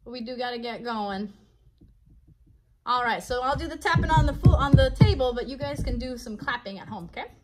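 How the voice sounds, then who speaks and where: A woman's voice: a little high, lively intonation, a little fast, faint music behind, rough recording. A woman in her thirties hosting a children's livestream, talking to young viewers in a playful, encouraging way. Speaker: children's puppet show host. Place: small home bedroom set, indoors.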